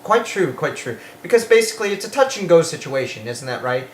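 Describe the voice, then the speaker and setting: A man's voice: on the high side, very animated, brisk. A man casually interviewing guests as the host of a comedy skit. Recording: echoey room with faint background noise.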